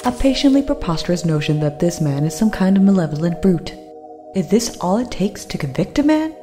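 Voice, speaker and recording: A woman playing a sharp, defiant defense attorney, arguing formally in a comic-book courtroom audio drama. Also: fairly low pitch, very animated, fairly quick. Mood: assured. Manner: formal, argumentative. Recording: music behind the voice.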